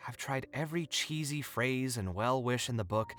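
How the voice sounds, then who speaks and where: A man's voice: mid-range voice, moderate pace, fairly bright tone, a little hiss or hum, natural voice. A man playing an earnest, slightly wry young architect in an anime-style video game, speaking in an articulate, thoughtful, storytelling way. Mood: playfully exasperated. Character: earnest young male architect reminiscing, expressive, a little wry.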